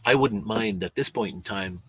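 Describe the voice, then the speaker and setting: A man around seventy with a Canadian accent, chatting casually on a livestream, rambling and halting with frequent restarts. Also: lowish voice, slightly rough, no room sound. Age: 70s. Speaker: van-life vlogger.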